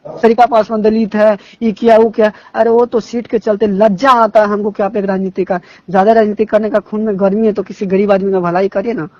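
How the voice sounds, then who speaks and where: A man's voice: high-pitched, flat delivery, a little fast, no room sound. A young man with a Bihari accent talking politics informally on a recorded phone call, indignant and emphatic, often repeating his phrases. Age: young adult. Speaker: phone caller discussing local politics.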